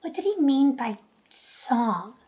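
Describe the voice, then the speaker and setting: A woman's voice: high-pitched, very animated, takes it slowly, a little mellow, heavily acted. An actress playing a curious, worried teenage girl in an audio drama. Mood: puzzled.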